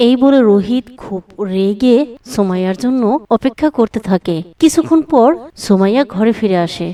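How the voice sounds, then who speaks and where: A woman's voice: fairly high pitch, expressive, moderate pace, dark tone. A woman narrating a scripted moral story for a cartoon as its storyteller.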